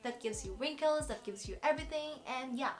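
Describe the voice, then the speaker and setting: A woman's voice: high voice, brisk, faint music behind. Chatty Filipino woman in her twenties. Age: 20s. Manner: chatty, giggly, rambling. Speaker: beauty vlogger. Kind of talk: casual chat to camera.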